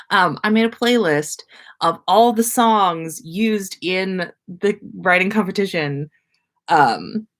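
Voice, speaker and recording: A woman hosting a casual livestream, chatting to viewers in an effusive, rambling, playfully self-aware way. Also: mid-range voice. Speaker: livestream host.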